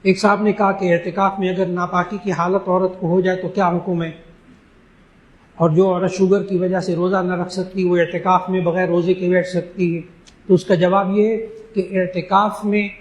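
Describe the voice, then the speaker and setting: High-voiced scholar in an echoey room. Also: male voice. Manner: emphatic, slows to stress key phrases. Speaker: Islamic scholar. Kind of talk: religious talk answering questions.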